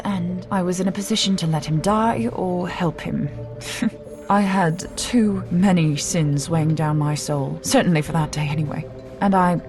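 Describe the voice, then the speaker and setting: A woman playing a poised, courteous, secretive noblewoman in an audio drama, speaking in a measured, gently teasing way. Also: lowish voice, lightly acted. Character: poised, courteous, secretive noblewoman, centuries older than she looks. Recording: music under the voice.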